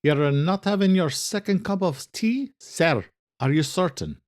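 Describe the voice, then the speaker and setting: A man voicing a dutiful woman housekeeper in an audio drama, deferential and gently questioning. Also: a little high, animated, a little slow, pitched above the performer's own voice. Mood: concerned. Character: concerned, dutiful female housekeeper serving tea.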